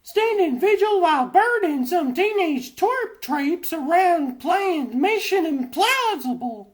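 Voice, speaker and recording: A haughty female cat sorceress from a cartoon, as a man puts her on, pitched very high. Her delivery is affected and theatrical, every phrase grandly put. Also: big pitch swings, slow, exaggerated voice. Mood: disdainful. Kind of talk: comic dub voice acting.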